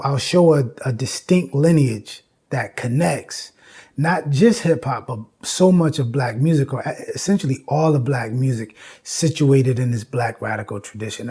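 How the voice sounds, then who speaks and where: A man's voice: mid-range voice, darkish tone, slightly rough. A man giving an informal, explanatory lecture as host, emphatic and repetitive, often checking in with "right?". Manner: emphatic, repeats phrases, frequent "you know" and "right?".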